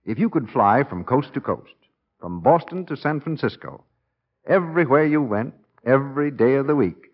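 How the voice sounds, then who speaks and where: Animated male vintage radio announcer. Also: medium pitch, moderate pace, breathy edge. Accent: American. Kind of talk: 1940s radio sponsor announcing. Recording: dull vintage 1940s radio recording.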